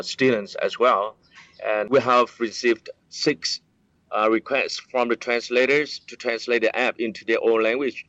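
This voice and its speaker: A man's voice: medium pitch, a bit bright, no echo. English speaking guy, lots of uh and um, kinda muffled dry audio. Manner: hesitant, many fillers and restarts. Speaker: app developer, interviewee. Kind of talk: explaining, casual interview. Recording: slightly dull, lo-fi sound.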